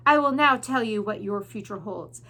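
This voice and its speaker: Storybook villain rat, male, cruel and scheming, haughty commanding delivery, sharp tone performed by a woman. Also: mid-range voice, lively intonation, moderate pace. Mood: scornful, menacing. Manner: pompous, sneering, commanding.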